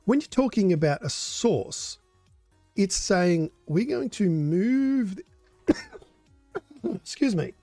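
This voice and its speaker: Hoarse older man with a mellow voice. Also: high-pitched, slow, pauses often, no echo, faint music behind. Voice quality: hoarse, coughing. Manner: relaxed, explanatory. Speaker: modding stream host. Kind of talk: live coding tutorial.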